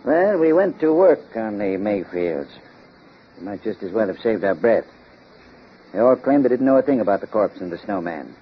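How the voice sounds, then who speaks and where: Irritable older inspector, American accent, blustery, lively intonation, old-time radio acting, muffled vintage sound. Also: male voice, on the low side, normal speed. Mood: rueful narrating. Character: gruff, irritable old police inspector, detective's father. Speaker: actor in a radio detective drama. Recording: dull, noisy vintage radio recording.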